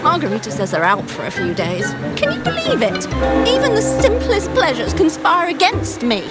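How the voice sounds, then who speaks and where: A woman's voice: high-pitched, huge pitch swings, moderate pace. An actress playing a luckless woman tavern patron in a video game, griping in a melodramatic, exaggerated way. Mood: exasperated. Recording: loud music under the voice.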